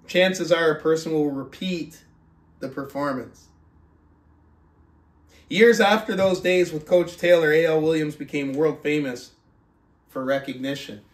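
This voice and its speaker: A man who speaks as a motivational book club host, reading aloud and coaching in an emphatic, deliberate, rhetorical manner. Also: a little high. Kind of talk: audiobook-style reading aloud and motivational talk. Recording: roomy, echoing sound.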